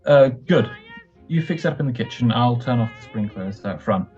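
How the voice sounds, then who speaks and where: An actor playing a weary, affectionate young husband in an old-time radio drama, with a relaxed, halting delivery. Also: male voice, mid-range voice, reverberant, dull audio, soft background music, close to an everyday voice. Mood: tired, affectionate.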